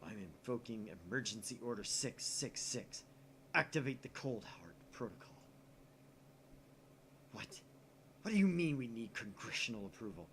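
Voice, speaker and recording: A man voicing a grandiose, excitable young mad scientist with a pompous, declamatory delivery in a visual novel. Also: mid-range voice, normal speed, long pauses, a little hiss or hum. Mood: urgent, commanding.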